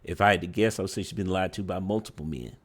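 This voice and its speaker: A man commenting on a dating show clip in a judgmental tone, halting with frequent fillers and restarts, often tagging his points with "right?". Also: sits low, husky. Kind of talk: reaction commentary.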